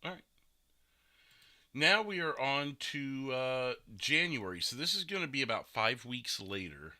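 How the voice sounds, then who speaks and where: Casting: male podcast host, lowish voice with a very bright forceful edge, casual chat.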